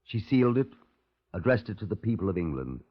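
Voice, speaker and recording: A dull vintage radio recording carries a sober crime-file narrator. His voice is on the low side, and he tells the case formally and gravely in measured phrases. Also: sing-song. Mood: solemn. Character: sober male narrator of police case files. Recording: muffled old radio broadcast recording.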